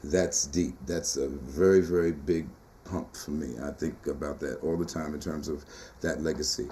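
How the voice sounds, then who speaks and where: Low dark-voiced man, animated and rhythmic. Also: normal speed, a little hiss or hum. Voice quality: tenor-baritone voice. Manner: animated, rhythmic, emphatic with repeated phrases. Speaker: poet and teacher being interviewed. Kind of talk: casual conversational interview.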